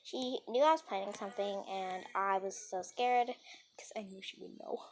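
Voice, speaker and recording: A woman's voice: medium pitch, moderate pace. A woman casually telling an improvised story, rambling and repeating phrases as she dramatically retells who said what. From New York City, United States. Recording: noisy amateur home recording.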